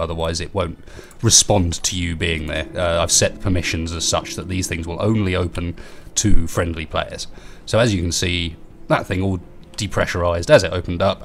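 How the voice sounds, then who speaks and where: A young man with a very low, deep voice talks through a gameplay tour at a desktop mic. He sounds stuffy and sniffly from a cold. His delivery is loose and rambling, with a self-deprecating ease. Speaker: gaming YouTuber. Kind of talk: casual game build tour.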